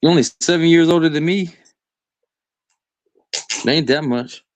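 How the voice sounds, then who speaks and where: A man's voice: on the high side, unhurried, a little hiss or hum. A man of twenty-two in casual, crude chat, rambling and saying "bro" and "like" constantly.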